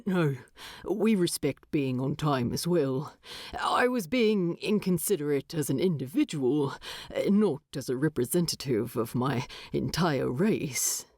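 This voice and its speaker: A woman voicing a proud, polite male dwarf in an audiobook, with a formal, measured manner. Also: low-pitched, lively intonation, a little mellow, no room sound, studio quality, pitched below the performer's own voice. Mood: apologetic. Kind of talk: audiobook character voice.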